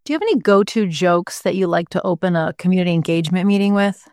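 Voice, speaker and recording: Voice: medium pitch, quick pace, darkish tone, no room sound, clean studio sound. A podcast host asking friendly, short interview questions and laughing along. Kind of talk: conversational interview.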